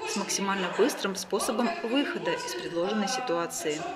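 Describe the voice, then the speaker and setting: A woman's voice: mid-range voice, brisk. A woman reading scripted news narration as a television reporter.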